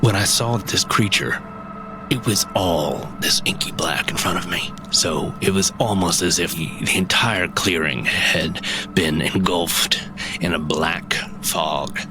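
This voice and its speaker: A shaken woodland tracker from a fantasy audio drama, recounts a sighting in a deep, slow voice. He halts and repeats himself dramatically while music plays clearly underneath. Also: rough recording. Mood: haunted, awed. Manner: halting, dramatic storyteller, often pausing and repeating.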